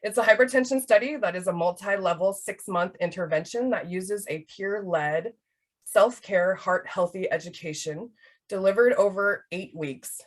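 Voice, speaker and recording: A woman in her thirties from Hawaii, presenting a webinar in a casual, chatty way with many fillers. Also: mid-range voice. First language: Hawaiian Pidgin. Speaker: research project manager.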